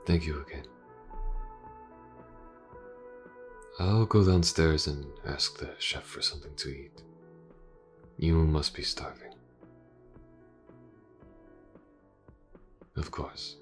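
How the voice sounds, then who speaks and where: A man voicing a calm, reserved police detective in an audio roleplay, speaking formally and with gentle reassurance to a wounded partner. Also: extremely low pitch, soft background music. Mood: caring. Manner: measured, formal, gently reassuring, hesitant pauses. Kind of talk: audio roleplay, character acting.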